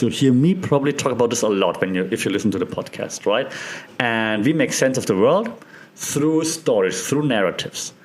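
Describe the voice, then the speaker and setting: A man with a German accent talks through ideas as a podcast host, in a loose, conversational way. He keeps checking in with his listeners as he explains. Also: mid-range voice. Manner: chatty, checks in with listeners often.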